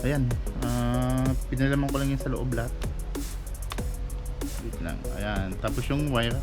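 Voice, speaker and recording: A man's voice: mid-range voice, unhurried. A man from the Philippines, a motorcycle rider, chatting casually as he reviews a helmet headset at home and talking straight to his viewers with lots of filler tags. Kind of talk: casual product review vlog. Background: music playing from the headset.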